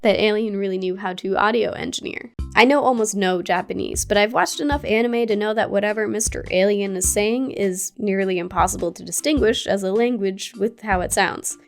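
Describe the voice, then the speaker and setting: A woman's voice: medium pitch, fairly quick, few pauses, soft background music. A woman narrating a scripted gaming video essay in a curious, playful way, with casual asides. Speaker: gaming YouTuber.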